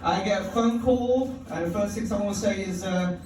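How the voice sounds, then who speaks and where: A man's voice: high voice, normal speed, a crowd in the background. A man from performing a stand-up set in a comedy club, wry and profane, chuckling at his own lines. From London. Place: comedy club stage, indoors. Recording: echoey club audio from far off.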